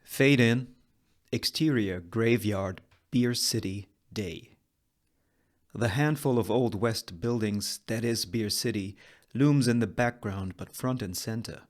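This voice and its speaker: A man reading screenplay stage directions as dramatic scripted narration, in deliberate short phrases with pauses for effect. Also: lowish voice.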